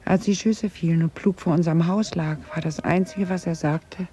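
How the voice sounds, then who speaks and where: An actress playing a haunted middle-aged woman in a German radio drama, confiding grave family guilt in a halting, husky voice. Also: lowish voice, steady tone, mellow, a little hiss or hum, close to an everyday voice. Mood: haunted, confessing. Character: haunted Dutch woman confessing her family's wartime guilt. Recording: slightly dull 1980s radio recording.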